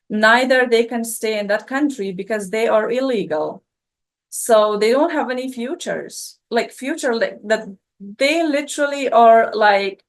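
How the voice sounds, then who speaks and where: Slow, hesitant Afghan woman guest. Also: on the high side. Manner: hesitant, many fillers and restarts. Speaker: scholarship student guest. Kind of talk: interview answer.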